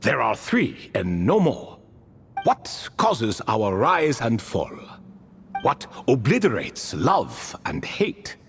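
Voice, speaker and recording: A man's voice: medium pitch, huge pitch swings, takes it slowly, gravelly, a bit bright, big-room sound, faint music behind, full character voice. An actor playing an ancient, talkative spirit king in a video game, with formal, archaic, whimsical, theatrical speech full of musing. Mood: coy, grandiose. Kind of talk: video game character acting.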